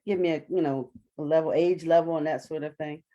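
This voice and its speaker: A woman's voice: deep voice, fairly even intonation, brisk, dark tone, lo-fi sound. A woman speaking as a stage actress in an interview, chatting casually in a playful, jokey way. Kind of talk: casual chat.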